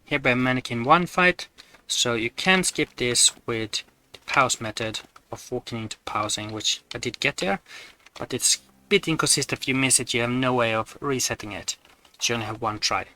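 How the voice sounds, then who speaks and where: Bright-voiced man explaining game glitches. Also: medium pitch, moderate pace, slight background noise. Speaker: gamer presenting glitches. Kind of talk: explanatory gameplay commentary.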